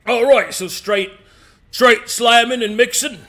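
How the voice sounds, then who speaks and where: A man's voice: extremely high pitch, slow, bright, forceful tone, full character voice. A man voicing a male demon who brews poisons, talking in a rambling, folksy, old-fashioned way. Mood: bargaining. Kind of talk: tabletop roleplay in character.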